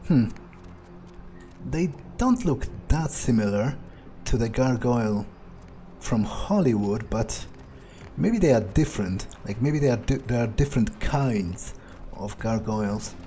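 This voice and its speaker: A man narrating his gameplay in character, thinking aloud in a measured, musing way. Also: medium pitch, animated, mellow. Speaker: gamer role-playing as his character. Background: faint music.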